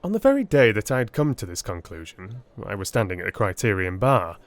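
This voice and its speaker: A man playing a weary Victorian army surgeon recounting his memoirs in a measured, formal, theatrical audiobook reading. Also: lowish voice, sing-song. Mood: measured, grave. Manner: measured, formal, literary delivery. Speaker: audiobook narrator.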